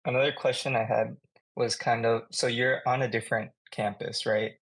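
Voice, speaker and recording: Young guy co-host, gravelly husky voice, kinda hesitant asking stuff. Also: medium pitch, level tone, moderate pace, fairly bright tone. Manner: hesitant, restarting questions. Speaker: podcast co-host. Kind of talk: casual interviewing.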